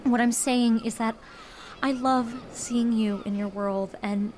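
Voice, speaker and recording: An actress playing an earnest, dry-witted young woman with a gentle, measured manner in a naturalistic audio drama. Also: fairly high pitch, steady tone, slight background noise. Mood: tender, regretful. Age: young adult.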